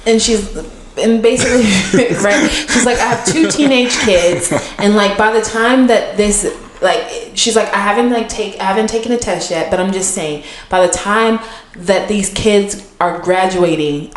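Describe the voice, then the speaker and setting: A woman's voice: medium pitch, echoey room. A woman co-hosting a podcast, chatting casually with lots of fillers. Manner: chatty, many fillers and restarts. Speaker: podcast co-host.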